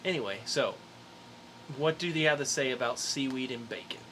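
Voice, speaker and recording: A man's voice: medium pitch, normal speed, fairly bright tone, slight background noise. A man co-hosting a podcast in a casual, chatty style. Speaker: podcast co-host. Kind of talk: casual chat with a quiz segment.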